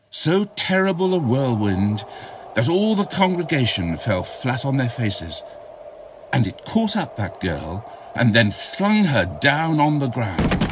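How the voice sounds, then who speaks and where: A man's voice: medium pitch, a little hiss or hum. A man narrating a short chiller as an audiobook reader, in a dramatic storytelling style.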